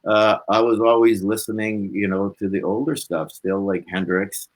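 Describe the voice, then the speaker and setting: A man's voice: fairly low pitch, steady tone, normal speed, poor audio. A man, chatting informally as an interview guest and rambling through his stories. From Canada. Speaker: guest, blues musician. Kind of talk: casual interview chat.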